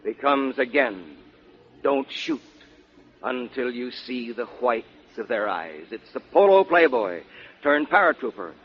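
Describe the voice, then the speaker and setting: An American man giving a declamatory patriotic oration in deliberate phrases on 1940s radio. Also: mid-range voice, expressive. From United States, Kansas. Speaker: guest speaker. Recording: dull 1940s radio recording.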